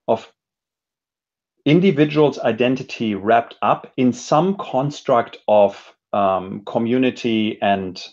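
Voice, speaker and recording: A man's voice: mid-range voice, slow, darkish tone, dull audio. A man speaking as an interview guest, explaining ideas conversationally and often checking in with "right?". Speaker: podcast guest, entrepreneurship expert.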